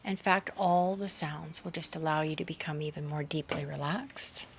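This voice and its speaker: A woman leading a slow guided meditation in a measured, hushed voice that often softens into breathiness, recorded outdoors. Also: low-pitched, steady tone, a little hiss or hum. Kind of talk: slow, soothing guided meditation with hypnotic induction. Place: outdoors in a garden. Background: faint outdoor garden ambience.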